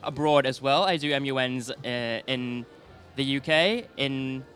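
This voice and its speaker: A man's voice: medium pitch, unhurried, fairly bright tone, soft background music. A young man from Thailand, an interview guest speaking fluently and upbeat. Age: young adult.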